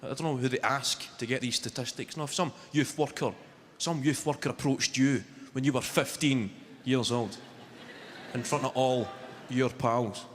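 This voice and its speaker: A man in his twenties with a Glaswegian Scottish accent, telling deadpan stories in a stand-up set, swearing freely. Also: medium pitch, moderate pace, big-room sound, slight background noise. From Scotland. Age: 20s. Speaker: stand-up comedian. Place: large arena on stage. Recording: live arena with loud crowd. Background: audience laughter.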